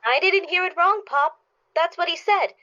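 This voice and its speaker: A woman playing an excitable, insistent young daughter in a radio play, with a plain-spoken manner. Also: very high-pitched, normal speed. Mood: worried, insistent. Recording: dull, lo-fi recording.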